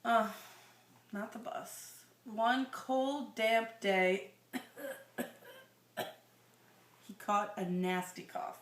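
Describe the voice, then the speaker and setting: Woman reading a kids story out loud, takes her time, chatty questions to the listener, echoey room. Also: fairly high pitch. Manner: expressive, drawn-out reading with conversational asides. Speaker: reader of a children's picture book to a listener. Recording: roomy, echoing sound.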